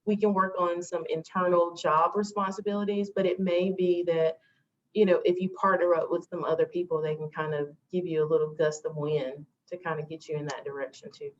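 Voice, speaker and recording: A woman's voice: fairly low pitch, little pitch movement, brisk. A woman manager on a panel, explaining steadily with some fillers over a video call. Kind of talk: casual panel advice. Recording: dull video call audio with faint noise.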